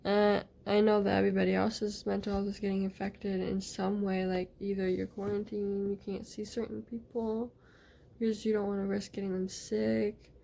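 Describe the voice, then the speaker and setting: A woman's voice: medium pitch, monotone, moderate pace, gravelly, warm tone, slight background noise. A woman talking in a casual video diary, hesitant and rambling with many fillers, sometimes dropping to near-whispers. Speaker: vlogger.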